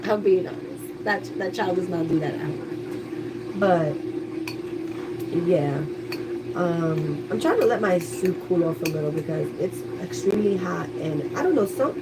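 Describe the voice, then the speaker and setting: A congested woman chats openly with her viewers in a home livestream, her voice a little stuffy. She sits back from the mic in a kitchen, and a busy household's noise carries clearly behind her. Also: fairly low pitch, expressive, measured pace, distant mic. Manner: chatty, candid, talks to viewers. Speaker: livestreaming YouTuber. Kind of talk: casual livestream chat.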